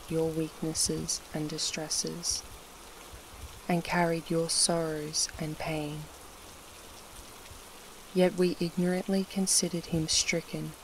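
A narrator reading scripture and prayer for a sleep meditation in a measured, solemn way with pauses between short phrases, in a devotional style. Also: medium pitch, a little hiss or hum, low-quality recording. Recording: steady rain sound over the voice. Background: soft rain.

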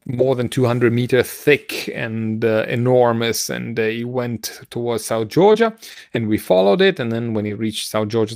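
A middle-aged man with a gravelly voice, co-hosting a casual science news discussion in a halting way, searching for words. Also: medium pitch, no room sound, studio quality. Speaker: podcast co-host. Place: home room, video call. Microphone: headset on a video call.

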